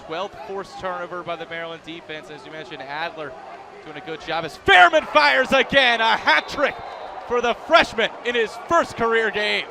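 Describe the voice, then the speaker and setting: A young American man calling a live lacrosse game as play-by-play announcer, with an energetic announcer's delivery. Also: high-pitched, moderate pace, vocal fry. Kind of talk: live lacrosse sports commentary. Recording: live game, loud crowd noise behind. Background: crowd and outdoor stadium ambience.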